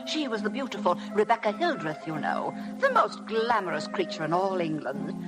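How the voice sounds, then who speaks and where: A woman's voice: medium pitch, sing-song, normal speed, lightly acted, poor audio. Gossipy society woman, swooping voice played by an actress. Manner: gushing, theatrical. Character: gossipy, effusive wealthy society woman employer. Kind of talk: 1950 radio drama acting. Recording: vintage radio broadcast with music.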